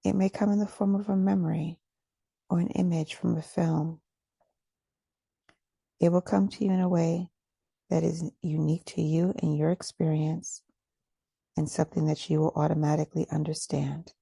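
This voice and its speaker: A woman guides a meditation in a low, husky voice with a gravelly edge. She speaks softly and deliberately, leaving long, gentle pauses. The sound is close and dry, with very little room around her. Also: little pitch movement, no room sound. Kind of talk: guided meditation, soft and soothing.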